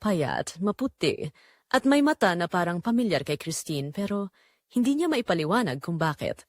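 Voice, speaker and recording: A woman narrating a romantic drama in a measured, sentimental storytelling manner. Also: fairly low pitch, very animated, dry recording, clean studio sound.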